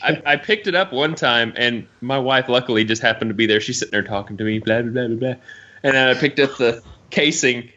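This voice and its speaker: Bright-voiced man chatting casually, slightly muffled audio. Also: mid-range voice, fairly quick. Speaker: podcast co-host. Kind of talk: casual chat.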